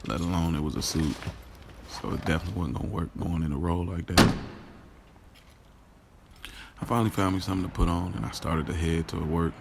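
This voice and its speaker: Audio drama lead, played by a man: lovestruck, boastful, self-doubting guy. Very low, creaky voice, slangy first-person monologue, talks to listeners like friends. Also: steady tone, brisk, pauses often, noisy background. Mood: wry. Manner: casual, slangy, confiding, addresses listeners directly. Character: smitten, boastful, self-questioning man recounting his love life.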